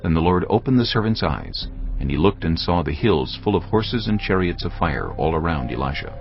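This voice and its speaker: A male narrator with an extremely deep, gravelly voice reads scripture in a grave, measured storytelling manner. His delivery rises and falls expressively. Cinematic music plays underneath in a dull, lo-fi recording. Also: expressive.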